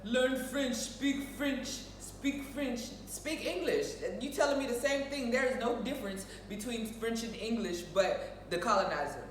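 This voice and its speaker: A woman's voice: a little high, a little slow, hardly any pauses. An American woman co-hosting a show, chatting casually in an outspoken, emphatic way and repeating phrases to press her point. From United States. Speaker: show co-host. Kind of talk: casual opinionated chat. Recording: roomy echo, distant mic, faint noise.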